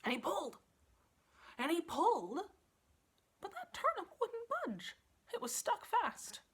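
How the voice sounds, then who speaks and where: A woman librarian telling a children's story in an animated style, building drama with emphasis and repetition. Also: very high voice, measured pace.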